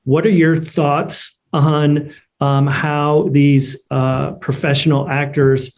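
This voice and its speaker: A man's voice: a little high, flat delivery, slow pace, darkish tone. A man moderating a panel conversationally, encouraging the guests and affirming what they say. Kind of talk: conversational hosting.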